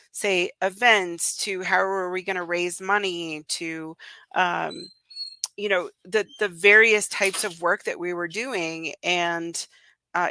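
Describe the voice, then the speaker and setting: A woman's voice: on the low side, sharp tone, no room sound. A woman whose first language was Russian, talking casually as an interview guest, with many fillers and restarts. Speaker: interview guest, communications business founder. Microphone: remote call.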